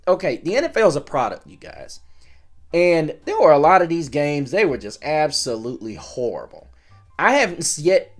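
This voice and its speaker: A man hosting his own sports channel, chatting casually to viewers with a voice worn down by a recent illness. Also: on the high side. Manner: rambling, conversational, with frequent restarts and fillers.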